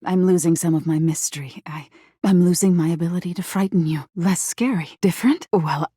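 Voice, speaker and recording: Breathy, dark-toned nightmare spirit. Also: female voice, on the low side, brisk, dry recording, clean studio sound. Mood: hurt, resigned. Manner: breathy, teasing, often dropping into whispers. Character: female living nightmare spirit, flirty, menacing, secretly insecure. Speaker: voice actor in a dating simulator game. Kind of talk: intimate theatrical video game acting.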